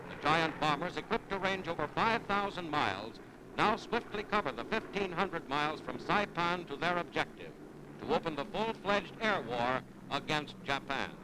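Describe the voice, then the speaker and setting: A man's voice: a little high, expressive, normal speed, background noise. A man with an American accent reading a scripted wartime newsreel narration in a dramatic, declamatory 1940s style. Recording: vintage 1940s newsreel soundtrack, loud music. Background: loud musical score.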